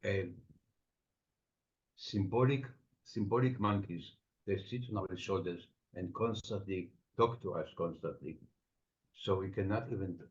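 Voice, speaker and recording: A man with an Estonian accent, a psychotherapist answering interview questions thoughtfully, with many pauses. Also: deep voice. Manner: reflective, explains with everyday examples, frequent pauses. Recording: dull lo-fi sound.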